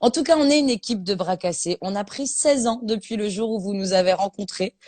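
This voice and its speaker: A woman's voice: mid-range voice, a little fast, darkish tone, dry recording. A woman in her thirties hosting a casual live stream from her phone, playful and teasing, often laughing.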